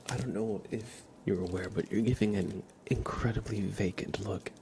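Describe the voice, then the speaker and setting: A man streaming live ASMR, speaking softly and often in a whisper, with a playful, deadpan roleplay manner. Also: fairly low pitch, normal speed, background noise.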